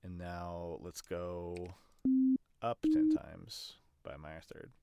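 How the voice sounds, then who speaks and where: A man's voice: sits low, wildly swooping pitch, slow, vocal fry, background noise. A man giving a casual, explanatory screen demonstration, thinking aloud in a hesitant way as he presents an audio production tutorial.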